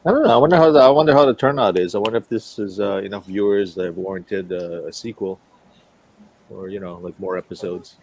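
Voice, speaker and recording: A man's voice: lowish voice, fast, creaky, darkish tone. A man chatting casually as a podcast co-host, talking in a hesitant, rambling way. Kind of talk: casual chat.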